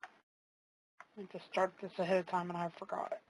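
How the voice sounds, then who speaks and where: A woman trainer giving a casual, step-by-step live software demonstration, explaining each click as she goes. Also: lowish voice, level tone, moderate pace, poor audio. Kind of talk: live software demo walkthrough.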